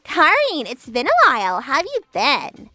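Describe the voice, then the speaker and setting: A woman voicing bright, high-pitched young women friends. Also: huge pitch swings, normal speed, no echo, soft background music, full character voice. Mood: friendly. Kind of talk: anime-style manga dub acting.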